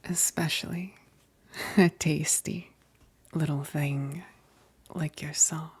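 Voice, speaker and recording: Husky, whispering female vampire best friend, acted by a woman. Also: low voice, steady tone, exaggerated voice. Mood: coy, teasing. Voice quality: breathy, husky whisper with gravelly edges. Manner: slow, hesitant, punctuated with soft chuckles. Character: teasing, affectionate female vampire best friend, gently wistful. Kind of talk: ASMR roleplay, soft whispered intimate speech.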